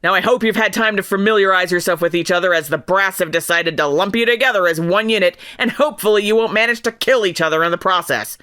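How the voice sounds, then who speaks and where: Woman acting a female commander, forceful and bright, contemptuous, bullying, sarcastic, dry studio sound, audio drama. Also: medium pitch, quick pace, few pauses, no echo, natural voice. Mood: angry, sarcastic.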